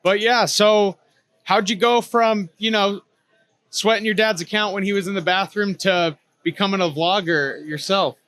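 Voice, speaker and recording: Young male host, friendly conversational questions, voice a touch high. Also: steady tone, normal speed, a bit bright, no echo. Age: 20s. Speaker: interview host. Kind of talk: scripted intro, then casual interview. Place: on a cruise ship.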